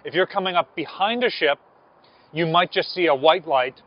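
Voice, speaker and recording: A man's voice: a little high, moderate pace, highs cut off. An American man in his thirties giving an informal explanatory talk to camera as a museum presenter, outdoors on a lapel mic. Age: 30s. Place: outdoors on a ship's deck. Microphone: clip-on lapel mic.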